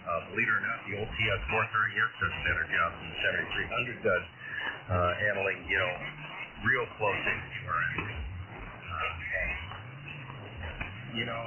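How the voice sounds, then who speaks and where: A man chatting casually as an amateur radio operator in a blunt, opinionated way, heard over a ham radio transmission. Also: lowish voice. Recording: muffled shortwave radio reception, loud static.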